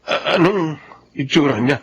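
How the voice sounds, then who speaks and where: Mausisang binata, mataas ang boses. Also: male voice, fairly high pitch, lively intonation, measured pace, husky, full character voice. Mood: uneasy, curious. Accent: Filipino. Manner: questioning, hesitant. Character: curious, uneasy young male relative of healer. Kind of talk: Filipino radio horror drama acting. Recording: dull, noisy with clicks.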